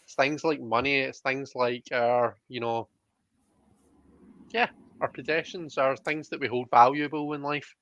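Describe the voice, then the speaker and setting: A man's voice: medium pitch, steady tone, unhurried, a bit bright, no echo, dull audio. A man hosting a live tarot reading in a chatty, jokey way, reading out viewer comments as he goes. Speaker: host of a livestream tarot reading. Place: indoors, online livestream.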